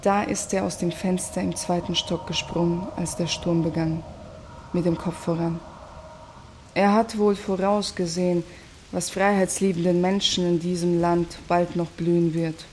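A woman acts out an embittered idealist from a commune, her voice on the low side. She delivers an audio drama monologue in a sardonic, measured way. A faint rumbling noise sits behind her. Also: level tone, a little mellow, natural voice. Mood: grieving, bitter.

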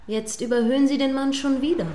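A woman's voice: high-pitched, steady tone, quick pace, dark tone, a little hiss or hum, close to an everyday voice. A woman playing a prim, indignant news commentator in a radio play, judgmentally describing events step by step as they happen.

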